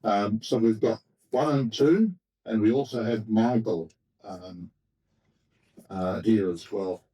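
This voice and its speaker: An Australian man chairing a community meeting and introducing the guest speaker, with many pauses and fillers. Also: fairly low pitch, warm tone, distant mic, poor audio. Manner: halting, filler-heavy.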